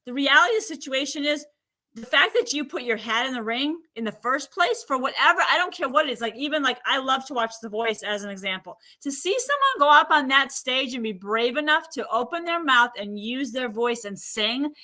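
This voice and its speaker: A woman guest on a talk show, chatting in an animated way and acting out what people say. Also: sits high, brisk. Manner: animated, acts out quoted speech. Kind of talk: casual chat.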